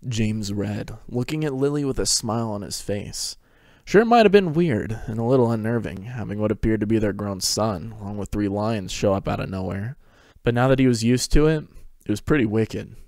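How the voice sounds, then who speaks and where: Low-voiced man narrating a story. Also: normal speed, a little mellow, no echo, clean studio sound. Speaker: narrator of a fan fiction reading. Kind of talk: scripted dramatic story narration.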